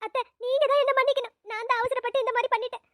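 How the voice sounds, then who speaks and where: A remorseful young wife, voiced by a woman, in a cartoon. The voice is very high and the pleading comes quickly. It is recorded dry and close. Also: fairly even intonation, a bit bright, no room sound, distant mic. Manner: pleading, apologetic. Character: remorseful young daughter-in-law.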